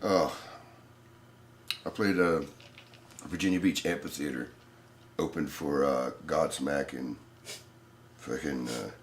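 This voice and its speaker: A man in his forties with a raspy voice, a musician guest talking loosely and profanely in a casual interview. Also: rumbling low, fairly even intonation, slow pace, long pauses, slight background noise. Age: 40s. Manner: loose and profane, laid-back.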